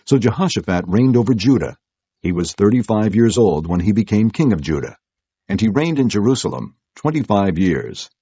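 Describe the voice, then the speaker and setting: A man narrating an audio Bible as scripted storytelling, in a measured, solemn way. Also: on the low side, very animated, mellow, breathy edge, no room sound.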